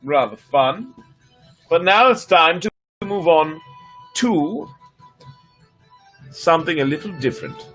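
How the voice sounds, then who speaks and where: A man's voice: mid-range voice, a bit bright. A man reading stories aloud on a livestream in a theatrical, playful manner, with chatty asides. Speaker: storyteller and livestream host. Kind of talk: dramatic fairy tale reading with chatty asides.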